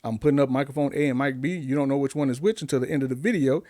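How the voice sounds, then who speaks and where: A man's voice: mid-range voice, very fast, mellow. A man talking casually to camera in a home studio, upbeat and chatty, asking viewers lots of questions, recorded close on a desk condenser mic. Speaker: YouTube tech reviewer. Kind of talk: casual vlog talk to camera. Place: home studio with gear behind. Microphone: desk-mounted condenser mic on an arm.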